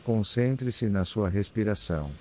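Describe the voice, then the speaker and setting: A man with a Brazilian accent guides a scripted meditation in a calm, soothing, unhurried manner with long pauses. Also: fairly low pitch, slight background noise. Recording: clear background ambience of ocean waves. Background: sea waves ambience.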